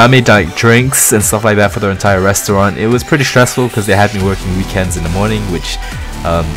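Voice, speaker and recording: Low-voiced young man, mixed London-American accent. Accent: London English mixed with American. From London, England. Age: around 20. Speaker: gaming video creator. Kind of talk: casual gameplay commentary, personal Q&A. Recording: music behind the voice. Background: music.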